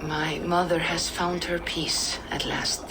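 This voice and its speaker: A woman playing a wise, serene Norse seeress in a video game, speaking in measured, poetic, gracious phrases. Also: fairly low pitch, little pitch movement, background noise. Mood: serene, reflective. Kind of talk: dramatic video game acting.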